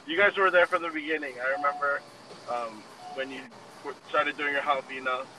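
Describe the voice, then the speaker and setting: A man's voice: on the high side, fairly quick. A young man from Hawaii, a language teacher chatting as a guest in an easygoing way, full of fillers. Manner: relaxed, filler-heavy, easygoing. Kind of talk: casual conversation. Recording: distant mic with clear background noise.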